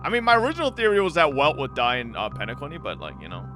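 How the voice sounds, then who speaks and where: Young man, game streamer, fairly high voice, animated, crude joking commentary, music in background. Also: very fast. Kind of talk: casual live game reaction commentary.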